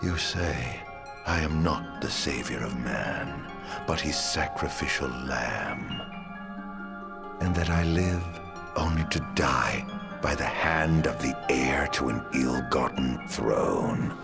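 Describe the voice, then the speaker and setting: A man's voice: rumbling low, slow, vocal fry, loud music behind the voice, full character voice. An actor playing a bitter, vengeful man doomed by the gods in a video game, with formal, theatrical diction. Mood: bitter resignation. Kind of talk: dramatic video game acting.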